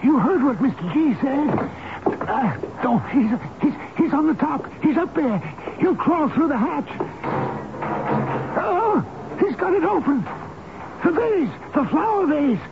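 A man's voice: extremely high pitch, big pitch swings, unhurried, exaggerated voice. An actor playing an eccentric, folksy old elevator man in a radio drama, talking tenderly to his machine. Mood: pleading, panicked. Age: older. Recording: noisy, dull lo-fi radio drama recording.

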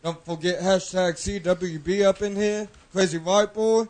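A man's voice: high voice, steady tone, crowd noise. A man plainly spelling out where to find him online. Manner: quick plain plugging of handles.

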